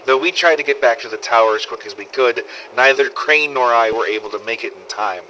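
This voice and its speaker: Cynical male survivor played by an actor, mid-pitched and forceful, sardonic scripted audio-log storytelling, slightly noisy cassette-recorder effect. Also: fairly quick. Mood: grim, subdued. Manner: sweary, sardonic, world-weary storytelling. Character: gruff, foul-mouthed zombie-apocalypse survivor, wry and cynical. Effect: old cassette recorder filter. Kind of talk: scripted in-character audio diary narration. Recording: old cassette tape with faint noise.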